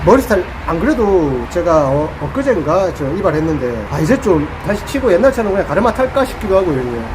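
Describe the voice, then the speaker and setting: Lively man chatting outdoors, high voice. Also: quick pace. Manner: playful, animated, joking to viewers. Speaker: vlogger. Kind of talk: casual outdoor vlog chat. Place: outdoors under a roof by a roadside rest area. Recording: outdoor noise behind.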